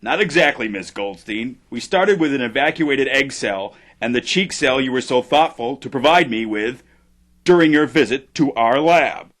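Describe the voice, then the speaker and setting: An actor playing a pompous, defiant genetic engineer in a satirical audio drama, speaking formally and indignantly. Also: male voice, medium pitch, lively intonation, normal speed, fairly bright tone. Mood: proud, formal.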